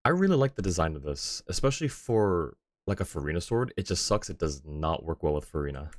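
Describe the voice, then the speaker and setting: A man with a low voice gives casual livestream commentary on a game. He talks informally and thinks aloud, with faint noise behind him. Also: very animated, fairly quick. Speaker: game streamer.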